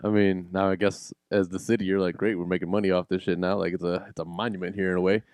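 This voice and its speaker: Low, mellow man chatting on a podcast. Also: flat delivery, quick pace, smooth, no echo. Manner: laughs often, swears casually. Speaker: podcast co-host. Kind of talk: casual banter.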